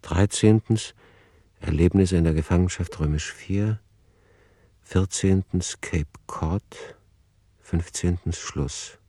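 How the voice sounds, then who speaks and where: A man playing a reflective author who narrates a literary radio play in a deliberate, ponderous manner. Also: sits low, warm tone, dry recording. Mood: measured. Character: reflective male author musing over his novel.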